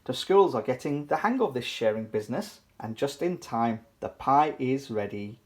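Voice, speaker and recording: A children's book reader giving an animated, playful, sing-song read-aloud for toddlers. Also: medium pitch, moderate pace.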